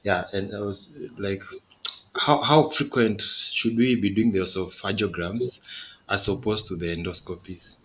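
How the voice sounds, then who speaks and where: A man's voice: lowish voice, measured pace, pushed and bright, low-quality recording. A young man, a trainee asking questions in class, hesitant and repeating words as he thinks aloud. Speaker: radiology trainee. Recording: faint background noise.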